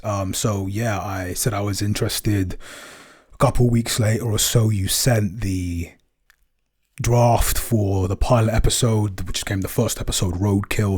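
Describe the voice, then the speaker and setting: A man chatting casually in a behind-the-scenes Q&A as a producer and composer, with a halting, self-effacing way of talking. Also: on the low side, darkish tone, husky.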